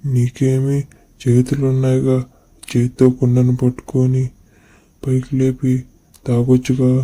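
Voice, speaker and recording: Flat-voiced, scornful mango played by a man. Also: mid-range voice, measured pace, lightly acted. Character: scornful, know-it-all talking mango. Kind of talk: animated moral story dialogue.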